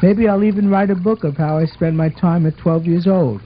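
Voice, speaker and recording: A man's voice: high-pitched, normal speed, close to an everyday voice. A man voicing an earnest, orderly twelve-year-old boy in a scripted puppet show, explaining things in a bossy, affectionate way. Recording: muffled amateur recording with watery noise.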